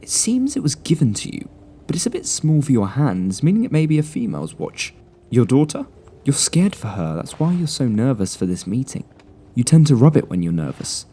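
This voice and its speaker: A man voicing a clever young male detective who lays out deductions coolly and deliberately. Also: medium pitch, big pitch swings, dark tone, husky, voice pushed up. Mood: deductive. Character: clever young male sleuth, calm and smug.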